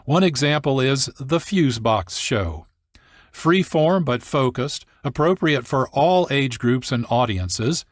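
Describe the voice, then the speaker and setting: A man hosting a radio program, reading scripted narration in a measured announcer's delivery. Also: mid-range voice, no echo. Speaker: radio host and producer. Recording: dry studio, music behind.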